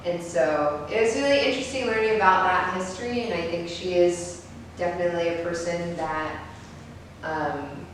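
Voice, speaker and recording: A woman actor speaking reflectively in a group discussion about a role. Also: on the low side. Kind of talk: reflective discussion after a table read. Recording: echoey room, distant mic, faint noise.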